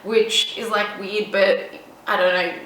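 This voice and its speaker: A woman's voice: mid-range voice, level tone, slow, fairly bright tone, a little hiss or hum. Young woman, casual Q&A vlog, chatty and rambling, recorded from a distance in a very echoey room. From Estonia. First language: Estonian. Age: 20s. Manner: chatty, rambling, lots of ums and likes. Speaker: vlogger. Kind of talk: casual Q&A to camera.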